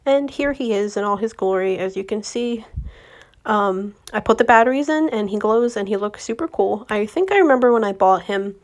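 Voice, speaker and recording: A young woman with a slightly raspy voice from a recent cold, chatting casually to viewers as she narrates her decorating vlog. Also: mid-range voice, expressive, moderate pace. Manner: chatty, friendly, addresses viewers directly. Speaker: home decor vlogger.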